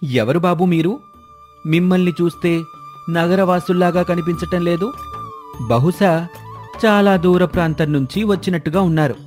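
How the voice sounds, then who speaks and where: Man putting on a high-pitched haughty royal minister. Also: fairly even intonation, moderate pace, pauses often, darkish tone, soft background music, close to an everyday voice. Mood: haughty, scornful. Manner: condescending, officious. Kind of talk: cartoon moral-story voice acting.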